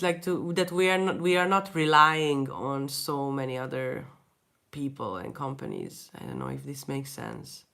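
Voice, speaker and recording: A young Hungarian-accented woman talking in a low, unhurried voice. She answers viewer questions in a relaxed, halting way, often restarting sentences and laughing softly. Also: gravelly. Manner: hesitant, many restarts, frequent soft laughs. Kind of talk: casual livestream Q&A chat.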